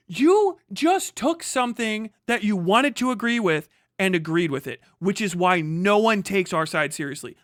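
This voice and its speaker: A man's voice: sits high, pushed and bright, no room sound, clean studio sound. A man doing heated live reaction commentary as a stream host, profane, combative and mocking. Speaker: livestream host reacting to a clip.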